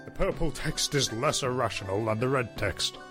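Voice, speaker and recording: A man plays a curt, pompous wanderer in an audio drama. He speaks in stern, clipped, formal commands at a middling pitch, with music clearly behind him. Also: normal speed, natural voice. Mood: disdainful. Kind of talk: web audio drama acting.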